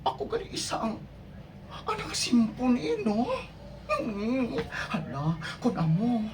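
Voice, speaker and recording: A woman's voice: a little high, wildly swooping pitch, a little slow. A woman rehearsing radio drama lines, stumbling and repeating them between bits of casual chat. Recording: distant mic with faint background noise.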